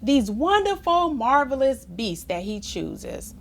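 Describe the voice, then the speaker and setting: A woman reading a rhyming children's book aloud in an expressive, theatrical way, with chatty asides to young listeners. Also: a little high, slow, vocal fry, a little hiss or hum. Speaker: storybook reader.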